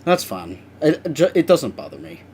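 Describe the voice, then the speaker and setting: A man's voice: fairly high pitch, very animated, normal speed, darkish tone, a little hiss or hum. A young man chatting casually with viewers on a live stream while he works, rambling and swearing now and then.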